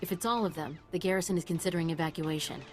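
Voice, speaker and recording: A woman's voice: on the low side, racing, natural voice. A woman playing an eager, nerdy scientist in a video game, precise and absorbed. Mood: eager, intent. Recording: game music underneath.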